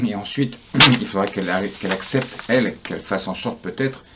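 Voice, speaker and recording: Deep-voiced male interviewer, chatty. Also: moderate pace, very noisy. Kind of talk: news interview.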